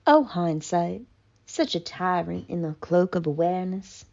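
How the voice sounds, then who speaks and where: A woman voicing a haughty, melodramatic, traumatized female cat in a pompous, mock-tragic theatrical monologue with deliberate pauses. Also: fairly low pitch, vocal fry, darkish tone, lo-fi sound. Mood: brooding, haunted.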